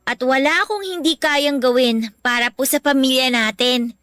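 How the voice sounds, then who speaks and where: High-voiced devoted young Filipino daughter. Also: female voice, moderate pace, a bit bright, a little hiss or hum. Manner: polite, earnest. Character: respectful, sulky but devoted young student daughter. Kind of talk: Filipino radio drama acting.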